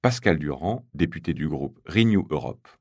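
A man narrates a political report from a script. His voice sits very low, giving the lines a deep register. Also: expressive, moderate pace, breathy edge.

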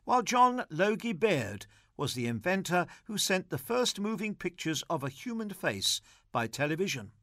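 A man's voice: high voice, very animated, normal speed, fairly bright tone, dry recording, clean studio sound. A man presenting a scripted audio magazine, reading trivia and introductions. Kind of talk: scripted quiz and narration.

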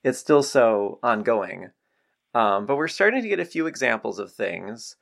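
Man hosting a podcast, gravelly edge. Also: medium pitch, big pitch swings, moderate pace, fairly bright tone. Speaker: podcast host and interviewer. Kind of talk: podcast introduction and conversational interview.

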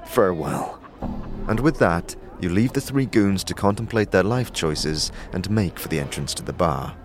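A man's voice: sits low, lively intonation, normal speed. A man reading scripted second-person narration for a fantasy audio drama. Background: music.

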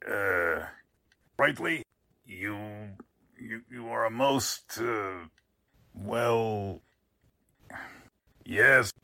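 An actor playing a bewildered, flustered newspaper boss, halting and exclamatory, in 1940s radio drama style. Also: male voice, on the low side, vocal fry, sharp tone, dry recording, heavily acted.

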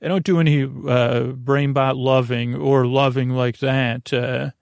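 A man hosting a bedtime podcast in a rambling, halting monologue, whimsical and gently reassuring, often repeating himself and trailing off. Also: mid-range voice, flat delivery, gravelly, clean tone, dry recording. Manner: meandering, halting, repeats and trails off mid-sentence. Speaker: podcast host.

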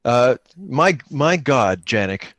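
A man's voice: medium pitch, very animated, slow pace, a bit bright, no room sound. A man narrates an improvised tabletop game in a casual, conversational way. He thinks aloud as he goes and jokes around. The sound is dry, with very little room in it. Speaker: co-player running a solo-engine tabletop game.

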